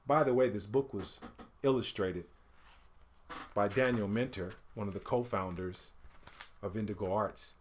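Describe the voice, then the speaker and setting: A middle-aged man reading a picture book aloud as an expressive storyteller, with deliberate, emphatic phrasing that falls away at phrase ends. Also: on the low side, a little hiss or hum. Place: indoors, small home studio room.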